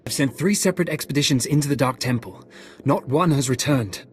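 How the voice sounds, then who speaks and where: A man's voice: mid-range voice, fairly quick, a little hiss or hum, lightly acted. A man acts an earnest Imperial organizer of expeditions in a video game. His voice sits in a medium register, grave and businesslike, with worry underneath. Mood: grim. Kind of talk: video game cutscene acting.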